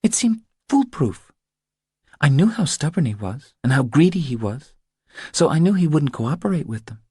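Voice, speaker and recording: Man voicing an anxious, husky woman in an audiobook. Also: on the high side, very animated, normal speed, mellow, no room sound, clean studio sound, voice pushed up, heavily acted. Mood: confiding, rueful. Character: anxious, stubborn woman confiding her troubles. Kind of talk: audiobook character dialogue.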